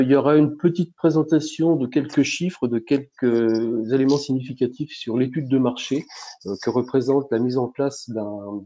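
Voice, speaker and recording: A man's voice: mid-range voice, mellow. A man hosting a business webinar, talking with many hesitations and restarts. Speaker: webinar host. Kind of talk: webinar presentation. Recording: dull lo-fi webinar audio.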